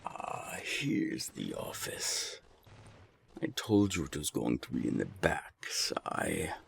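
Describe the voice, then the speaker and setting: A very deep, gravelly serpent crime boss. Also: male voice, very animated, slight background noise, exaggerated voice. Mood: smug. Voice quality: gravelly, husky. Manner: slow, taunting, deliberate. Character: menacing naga gang boss, sly and contemptuous of humans. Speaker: gang boss. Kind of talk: audio roleplay acting.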